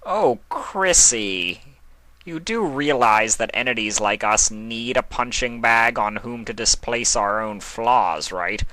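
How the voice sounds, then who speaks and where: A man's voice: mid-range voice, lively intonation, takes it slowly, bright, forceful tone, no room sound, heavily acted, low-quality recording. A man voicing a sarcastic, flippant god of chaos in a mocking, theatrical way for a story reading.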